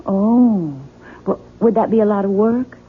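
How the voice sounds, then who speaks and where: An actress playing a devoted, anxious young wife in a 1970s radio drama, husky, tender and hesitant. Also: female voice, medium pitch, fairly even intonation, a little slow. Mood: hopeful. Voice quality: husky, breathy. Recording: muffled, narrow-band vintage radio recording.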